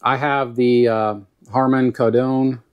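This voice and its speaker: A man's voice: mid-range voice, level tone, slow pace, smooth, lo-fi sound. Folksy Southern American man reviewing gear. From Southern United States. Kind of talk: casual product review monologue.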